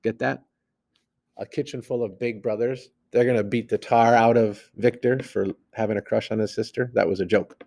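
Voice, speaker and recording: A man's voice: mid-range voice, normal speed, creaky. A man reading a story aloud like a teacher, expressively, acting out the dialogue and adding plain asides. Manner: animated, acts out the dialogue.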